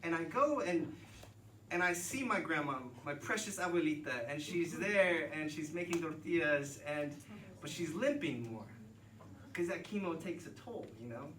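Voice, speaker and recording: A man's voice: a little high, expressive, moderate pace, a bit bright. A man in his thirties from Texas with an American accent, telling a joking yet reflective personal story live on stage. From Texas, United States. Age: 30s. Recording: echoey room, distant mic, noisy ambience.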